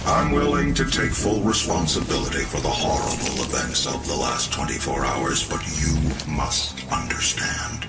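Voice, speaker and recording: Game villain-or-ally type: formal cyborg research director, extremely low register, calm persuasion, echoing under loud music. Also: male voice, normal speed, few pauses, full character voice. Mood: measured, persuasive. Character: calm, authoritative cyborg scientist running a research facility. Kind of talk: video game cutscene acting.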